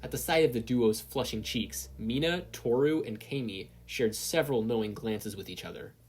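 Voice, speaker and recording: A reader giving an animated scripted narration of a fan fiction story. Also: mid-range voice, normal speed, breathy edge.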